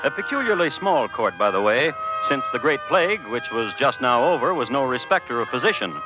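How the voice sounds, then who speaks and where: A man's voice: medium pitch, very animated, a little fast, low-quality recording. A man narrating a scripted old-time radio tale in a wry, mock-scholarly manner with ornate phrasing. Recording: old radio recording with music. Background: music.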